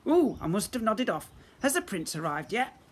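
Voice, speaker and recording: A man's voice: sits high, very animated, normal speed, a little hiss or hum, pitched above the performer's own voice. A man voicing an enormous sleepy female plant monster in a storybook, drowsy and oblivious.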